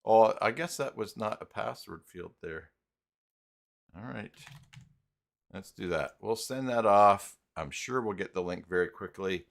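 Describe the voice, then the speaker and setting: A low-pitched man hosts an unscripted screen-share tutorial at a very unhurried pace. His voice has a crackly fry, and he talks himself through each step in a casual, thinking-aloud way, with little muttered filler syllables. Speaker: live stream host and web tutorial presenter.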